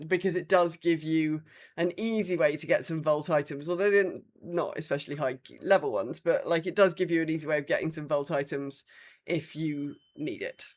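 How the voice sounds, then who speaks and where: A woman co-hosting a casual gaming podcast, chatting and reading out comments in an informal way. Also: lowish voice. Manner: informal, conversational. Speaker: podcast co-host.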